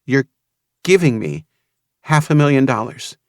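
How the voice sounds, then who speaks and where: A cynical young woman with a guarded, skeptical edge, as a male audiobook narrator puts her on. The voice sits much higher than his usual deep tone, with an altered timbre. It is recorded dry and close. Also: lively intonation, normal speed, no room sound, close to an everyday voice. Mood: incredulous. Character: wary, streetwise young woman, tempted by money.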